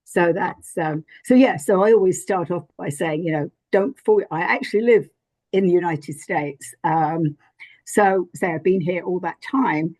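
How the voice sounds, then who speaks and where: A woman's voice: on the low side, measured pace, a little mellow. An older woman with an English accent, chatting as a podcast guest with lots of fillers and restarts. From England. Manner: rambling, many fillers and restarts. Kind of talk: casual interview chat.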